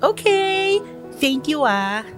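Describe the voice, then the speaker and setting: An actress playing an excitable, gushing young woman, a best friend in a radio drama. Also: high voice, drawn-out words, heavily acted. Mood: excited. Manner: exclamatory, gushing, Taglish. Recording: music behind the voice.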